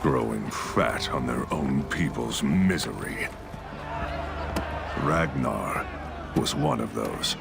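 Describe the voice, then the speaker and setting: An actor playing a grim, battle-hardened Viking raider, telling of vengeance as a slow, grave narrator in a video game. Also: male voice, sing-song, full character voice. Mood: grim, brooding. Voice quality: deep, gravelly. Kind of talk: dramatic scripted game narration. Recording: dramatic music underneath.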